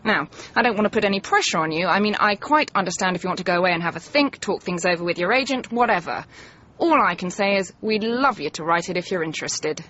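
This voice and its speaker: An actress playing an eager, flattering television development executive who gushes in sales jargon in a radio comedy. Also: female voice, on the low side, quick pace, muffled recording. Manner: gushing, jargon-laden salesmanship. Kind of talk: radio comedy drama acting.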